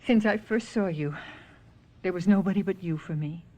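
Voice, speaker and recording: An actress playing a devoted, wistful, possessive wife in a 1970s radio drama, speaking in hesitant, emotional phrases. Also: female voice, on the low side, normal speed, mellow, natural voice. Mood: yearning. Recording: muffled old radio broadcast.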